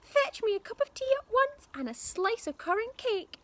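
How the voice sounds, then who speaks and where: A woman's voice: very high-pitched, sing-song, normal speed, voice pushed up. A woman voicing a grumpy, bossy old grandma in a children's storybook, shrieking orders and insults. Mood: imperious fury.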